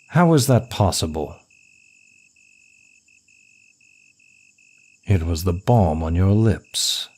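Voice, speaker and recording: Guy doing a smug sexy demon daddy for asmr rp, real deep voice, talks slow n teasing, super seductive. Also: a little mellow. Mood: intrigued, coolly impressed. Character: suave male demon of lust, seductive and smug. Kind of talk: ASMR roleplay acting.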